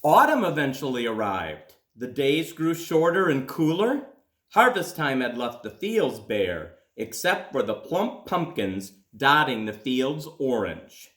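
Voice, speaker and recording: A man reads a children's story aloud at a slow, careful pace, with plenty of dramatic pauses. His pitch swings widely as he narrates. The room around his voice is noticeably echoey. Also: very animated, a bit bright. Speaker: school principal reading aloud.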